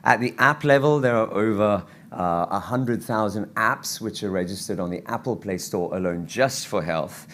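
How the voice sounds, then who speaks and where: A man speaking as a physician at a conference lecture, his voice croaky from travel, earnest and given to restarts and fillers. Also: on the low side, normal speed, hardly any pauses, fairly bright tone. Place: conference hall.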